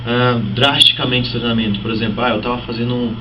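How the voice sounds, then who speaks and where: A Brazilian man talks as an interview guest, explaining things conversationally with plenty of examples. His mid-pitched voice sits off the mic in a dull recording. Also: fairly even intonation, fast. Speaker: fitness trainer, guest. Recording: muffled, noisy, distant-sounding broadcast audio.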